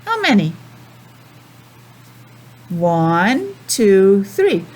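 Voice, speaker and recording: A woman's voice: medium pitch, sing-song, extremely slow, darkish tone. A woman teacher reading a picture book to young children, patiently asking questions and explaining things simply. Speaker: preschool teacher. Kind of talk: children's read-aloud with explanations.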